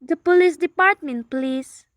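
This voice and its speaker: A woman's voice: high-pitched, unhurried, a little hiss or hum. An actress playing a frantic, haughty wealthy married woman in a suspense radio play, demanding and indignant. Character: frantic, imperious wealthy married woman reporting a murder plot.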